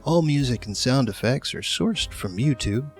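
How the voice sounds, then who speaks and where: A man's voice: mid-range voice, expressive, moderate pace, soft background music. A man hosting the end of an audio series, reading credits and thanks in a casual scripted style.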